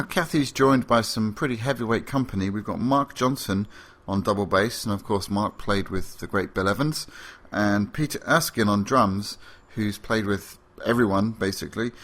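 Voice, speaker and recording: Sleepy, croaky man hosting a podcast. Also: lowish voice, a bit bright. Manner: rambling, enthusiastic, frequent fillers. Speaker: podcast host. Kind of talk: casual podcast presenting.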